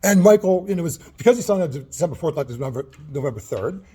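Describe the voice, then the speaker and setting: A man in a heated in-person complaint at a city office, loud and agitated, talking over others and swearing, caught on a hand-held recording. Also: fairly high pitch, lively intonation, fast talker, breathy edge. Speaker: tenant. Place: city hall office, indoors.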